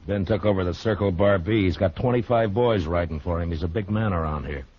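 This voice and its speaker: An actor playing a stern, wary frontier US marshal in a dry, laconic 1950s radio western style. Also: male voice, deep voice, brisk, a little hiss or hum, lightly acted. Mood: stern, challenging. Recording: muffled old radio recording.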